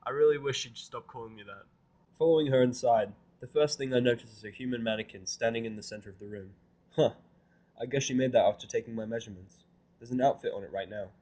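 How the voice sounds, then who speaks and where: A man's voice: mid-range voice, fast, a little mellow. A man narrating a fan fiction story in the first person, in a dry, irritable tone. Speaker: reader.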